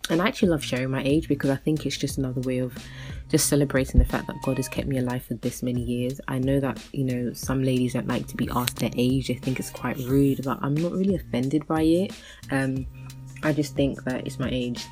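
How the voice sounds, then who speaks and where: A woman's voice: rumbling low, steady tone, fairly quick, over music. A woman in her thirties hosting a solo podcast, chatting casually and rambling with many fillers and restarts. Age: 30s. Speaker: podcast host.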